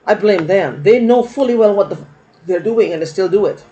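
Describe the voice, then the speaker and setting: A woman's voice: mid-range voice, expressive, moderate pace, warm tone. Woman vlogger, rambling and aggrieved, lo-fi dull audio. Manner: rambling, aggrieved, repeats herself. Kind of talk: rambling monologue vlog. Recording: dull lo-fi recording.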